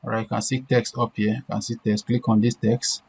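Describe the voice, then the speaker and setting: A man's voice: medium pitch, little pitch movement, a little fast, darkish tone. A man presenting an informal step-by-step screen-share tutorial, repeating himself and often checking in with short questions. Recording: clear background noise.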